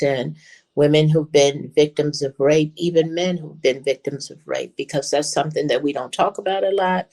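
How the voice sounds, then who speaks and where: Interview guest, man, heavy vocal fry, emphatic and repetitive delivery. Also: a little high. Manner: emphatic, repeating points. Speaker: guest, activist and nonprofit director. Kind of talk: interview talk.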